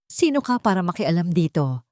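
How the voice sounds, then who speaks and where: A woman's voice: lowish voice, big pitch swings, moderate pace, a little mellow, no echo, studio quality. A woman voicing an angry, domineering male hospital doctor in a narrated story, indignant and commanding.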